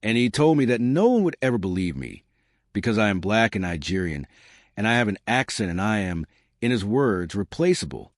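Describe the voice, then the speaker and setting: A man reading the lines of a resolute woman publicly exposing her abusive husband, with measured, accusing delivery. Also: fairly low pitch, sing-song, no echo, studio quality. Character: steely Nigerian woman exposing her abuser.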